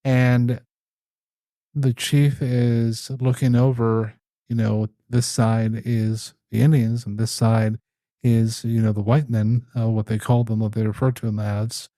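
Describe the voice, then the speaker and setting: A man hosting a casual podcast conversation, relaxed, steering the talk toward the next topic. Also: medium pitch, unhurried, dry recording. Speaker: podcast host.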